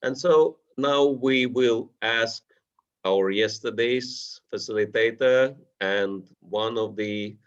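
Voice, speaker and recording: A man moderating an online panel, introducing speakers in a formal, slightly halting way. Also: mid-range voice, smooth. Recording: dull lo-fi audio.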